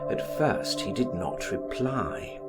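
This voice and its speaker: A man reading a literary story as an audiobook, with measured, expressive dramatic delivery. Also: lowish voice, over loud music. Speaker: audiobook narrator.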